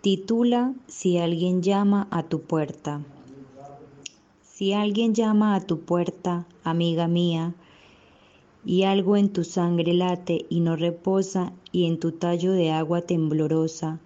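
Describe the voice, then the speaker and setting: A Colombian woman reads a poem aloud at a slow, unhurried pace. Her voice is low and mellow. The recording sounds dull and lo-fi. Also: fairly even intonation. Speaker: poetry reader. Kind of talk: slow poetry recital.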